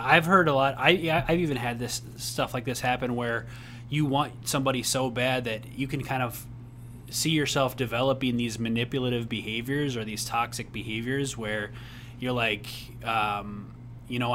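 A young man co-hosting a casual advice podcast, earnest and rambling with restarts, laughing easily, who says his voice is on the high side. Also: fairly even intonation, normal speed, pushed and bright, a little hiss or hum. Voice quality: says he has a rather high voice. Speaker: podcast co-host.

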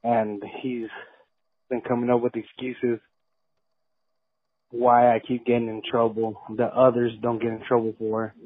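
Monotone man on a phone voicemail. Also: fairly low pitch. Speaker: anonymous caller. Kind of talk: personal voicemail confession. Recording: narrow, tinny phone voicemail.

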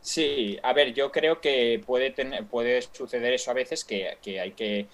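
A man's voice: medium pitch, measured pace, fairly bright tone. A young man from Spain with a Peninsular Spanish accent, chatting as a guest YouTuber in a hesitant, friendly way full of fillers. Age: young adult. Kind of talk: casual live-stream chat.

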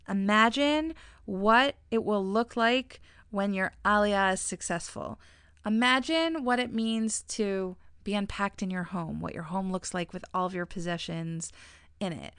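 A middle-aged woman talks through practical tips in a chatty, emphatic way, repeating points for stress and laughing often. Her voice is smooth and resonant at an ordinary pitch. It is recorded close and dry, with no room echo. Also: fairly bright tone. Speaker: relocation advisor giving tips. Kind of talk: casual advice talk to camera.

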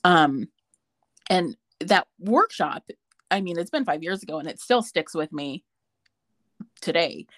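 A woman's voice: lowish voice, lively intonation, measured pace, long pauses, a bit bright, dry recording, studio quality. A woman talking casually with a friend, telling a story in a chatty way with lots of fillers. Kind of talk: casual chat.

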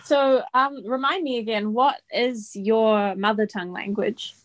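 A woman's voice: on the high side, unhurried, low-quality recording. A woman in her twenties with a New Zealand accent, co-hosting a casual podcast and talking in a friendly way, laughing easily. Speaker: podcast co-host. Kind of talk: casual chat.

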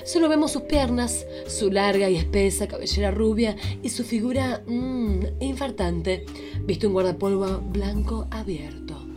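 A woman's voice: medium pitch, expressive, unhurried. Woman narrating over music. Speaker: podcast host and radio play narrator. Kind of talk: scripted comic narration and banter.

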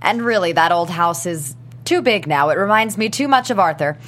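A woman's voice: lowish voice, lively intonation, normal speed, fairly bright tone, a little hiss or hum. A woman playing a chatty, disapproving widowed grandmother in a script read. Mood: wistful, hinting. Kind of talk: table read of a TV pilot script.

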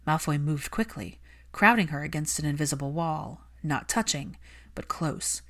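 A woman's voice: deep voice, normal speed, fairly bright tone. A woman reading fiction aloud as an audiobook narrator, in a dramatic scripted style.

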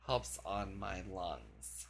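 A man co-hosting a podcast in casual chat, campy, loud and giggly with friends in a room. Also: sits low, sing-song, extremely slow, creaky, background noise. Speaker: podcast co-host.

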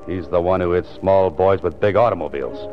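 A man's voice: low voice, fairly quick, natural voice. A man playing a terse, brooding author-detective who narrates his own case, with an American accent, in 1940s radio noir style. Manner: terse, self-questioning. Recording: muffled 1940s radio recording, music behind.

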